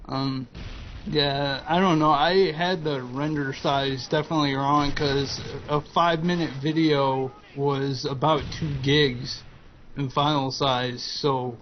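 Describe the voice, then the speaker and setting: A man in his twenties, a guest chatting slowly and hesitantly with frequent ums. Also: mid-range voice. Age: 20s. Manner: slow, hesitant, lots of ums and uhs. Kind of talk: casual chat over gameplay commentary. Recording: dull lo-fi voice-chat audio with faint noise.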